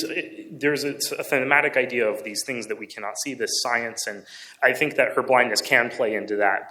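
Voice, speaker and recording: A man giving an informative book presentation in a halting way, often correcting himself mid-sentence. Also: mid-range voice, pushed and bright.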